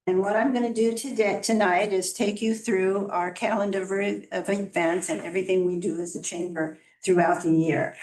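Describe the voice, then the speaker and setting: A soft-voiced woman presenting to a city council as a chamber of commerce director, friendly and informal, with small restarts. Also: medium pitch, steady tone, normal speed, few pauses. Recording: echoey council chamber from far off.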